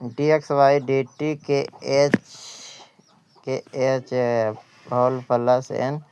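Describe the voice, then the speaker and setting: A man with an Indian accent teaching an exam-prep lesson, reading out questions and answers haltingly and repeating phrases. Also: medium pitch, flat delivery, background noise.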